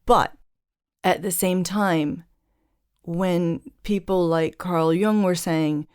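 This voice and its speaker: A woman hosting an interview in a low, resonant voice. She speaks earnestly and thoughtfully, stringing her ideas together in long, flowing runs. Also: takes it slowly, a little mellow, clear, resonant tone. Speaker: podcast host. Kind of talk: reflective conversational interview.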